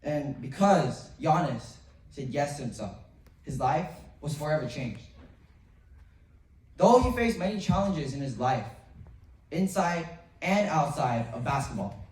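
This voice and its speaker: A young boy in middle school delivers an earnest memorized speech to his school, pausing between phrases and sometimes stumbling over a restart. His voice sits a little high. He sounds far from the mic, with the echo of a large hall. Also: animated, darkish tone. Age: young teen, 7th grade middle school student. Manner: earnest, with pauses, some restarts. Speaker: student speaker. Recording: roomy, distant from the mic.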